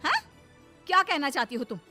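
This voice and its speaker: A woman voicing a vain, scheming gold-digger mother-in-law in an animated story, theatrical and indignant. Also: sits high, a little fast, over music. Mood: defensive indignation. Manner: melodramatic, indignant. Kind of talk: animated Hindi story voice acting.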